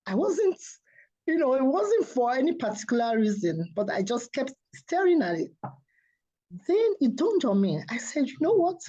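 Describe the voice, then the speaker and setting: Soft-spoken woman, mellow and lo-fi. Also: high voice, very animated, moderate pace. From Nigeria. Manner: soft-spoken, earnest, laughs often. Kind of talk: interview guest telling her story.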